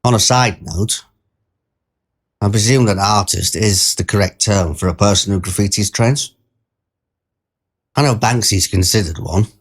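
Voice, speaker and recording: A man's voice: fairly low pitch, measured pace, pauses often, breathy edge. A man playing a mild-mannered, wordy train passenger who tells his anecdote as a conversational, digressive short story. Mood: wry, digressive. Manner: digressive, pedantic, wryly formal wording. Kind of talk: scripted first-person short story narration, conversational.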